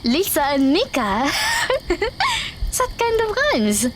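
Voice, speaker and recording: A woman's voice: sits high, very animated, slow pace, heavy background noise, full character voice. A woman acting in an audio drama as a timid but cheerful teenage magical girl with a hesitant stammer. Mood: shy, friendly.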